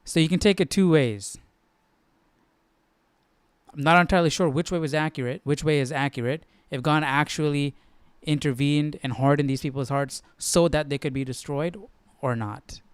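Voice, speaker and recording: A man's voice: mid-range voice, clean tone, no room sound. A man hosting a casual live Bible study, thinking aloud and often checking in with the listener. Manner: musing, often checking with "right?".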